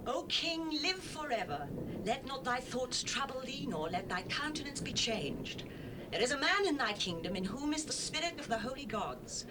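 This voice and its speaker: Reassuring queen, high-pitched, distant, hissy cassette sound. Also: very animated, moderate pace. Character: the queen, calm and respectful counsel. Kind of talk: dramatized scripture reading.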